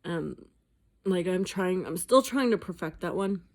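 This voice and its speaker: A woman's voice: fairly low pitch, lively intonation, measured pace. A woman vlogging at home in a casual, rambling chat, swearing freely and mocking herself. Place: indoors at home.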